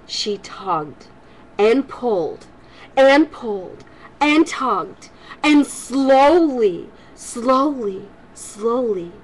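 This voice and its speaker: An older woman, a grandmother, speaking to children in an expressive, homely storytelling style. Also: on the high side, very slow, rough recording. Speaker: grandmother reading stories for children. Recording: home recording with faint background noise.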